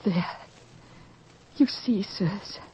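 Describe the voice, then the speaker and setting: A woman's voice: mid-range voice, drawn-out words, a crowd in the background. An actress playing an overwrought mother in an old-fashioned radio drama, with theatrical, emotional delivery. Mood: overwhelmed. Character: overwrought mother of a sick young girl. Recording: dull, noisy lo-fi radio recording.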